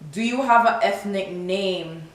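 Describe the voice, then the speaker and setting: A woman's voice: mid-range voice, measured pace. A young woman from Toronto with a Canadian accent that slides into Jamaican Patois, chatting casually and animatedly to camera as a vlogger. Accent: Toronto English with Jamaican Patois. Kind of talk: casual chat to camera, answering tag questions. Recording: very echoey room with background hiss.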